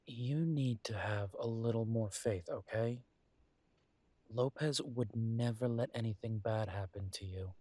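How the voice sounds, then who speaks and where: A man playing a caring, weary werewolf detective boyfriend in an intimate roleplay audio drama, speaking in an earnest, gently coaxing, conversational way. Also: mid-range voice, a little slow, dry recording, close to an everyday voice. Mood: reassuring.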